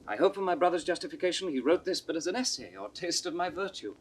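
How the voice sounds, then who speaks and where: An actor playing a scheming, bitter young nobleman's bastard son in classical Shakespearean style, theatrical and deliberate, sometimes dropping to a conspiratorial whisper. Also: fairly high pitch, very animated. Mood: feigned reluctance. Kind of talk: classical Shakespearean stage acting. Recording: 1960s studio audio drama recording.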